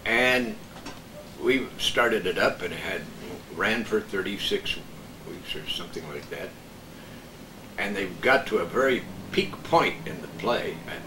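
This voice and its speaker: Older man, lowish voice, halting casual storyteller, echoey room, noisy background. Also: animated. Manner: halting, searching for words. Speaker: veteran radio broadcaster reminiscing as a guest. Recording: echoey room, distant mic, background noise.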